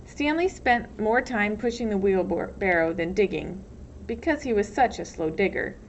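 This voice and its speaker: A woman's voice: mid-range voice, animated, normal speed. A woman reading a storybook aloud as narrator, expressively, like a teacher. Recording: dull lo-fi sound, faint noise.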